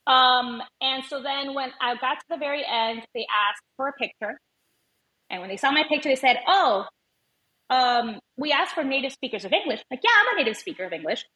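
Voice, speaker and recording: A woman's voice: sits high, expressive, fairly quick, bright, forceful tone, muffled recording. A woman from Venezuela who was raised in the United States, a native Spanish speaker, talking conversationally as a podcast guest and telling personal stories with quoted dialogue. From born in Venezuela, raised in the United States. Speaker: podcast guest, language educator and advocate. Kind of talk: conversational interview storytelling.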